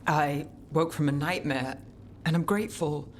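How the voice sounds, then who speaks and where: A woman's voice: sits low, normal speed, darkish tone, a little hiss or hum, close to an everyday voice. An actress playing a weary, determined woman mage in a video game, with a restrained, dry delivery. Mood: somber, resolute. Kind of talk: dramatic video game cutscene acting.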